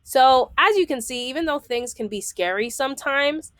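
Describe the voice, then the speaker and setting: A woman's voice: high voice, animated, moderate pace, fairly bright tone, no echo, clean studio sound. A woman reading a children's book aloud, enunciating item by item and adding explanatory asides.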